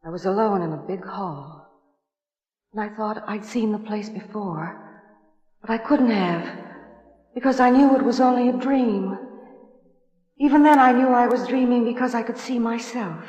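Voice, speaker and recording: A woman's voice: mid-range voice, a little slow, long pauses, a little mellow, breathy edge, muffled recording. A woman playing a troubled young wife haunted by nightmares, earnest and hesitant, in a 1950s radio suspense drama. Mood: haunted, hushed. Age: young adult. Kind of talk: 1950s radio suspense acting and first-person narration.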